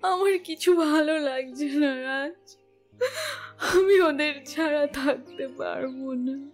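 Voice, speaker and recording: A heartbroken, clinging middle-aged wife in an audio drama. She sobs in a very high, slow wail, stretching her phrases over soft music. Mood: sobbing, distraught. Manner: wailing, drawn-out phrases. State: crying.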